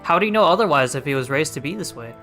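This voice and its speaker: A woman's voice: low-pitched, expressive, rapid-fire, fairly bright tone, faint music behind. A woman reacting casually to a game on a livestream, jokey and playful. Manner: jokey, playful, laughs often. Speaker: game streamer.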